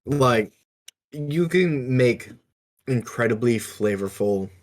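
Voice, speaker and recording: A man co-hosting a podcast, casually discussing tabletop roleplaying in a halting, measured way. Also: mid-range voice, expressive. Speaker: podcast co-host.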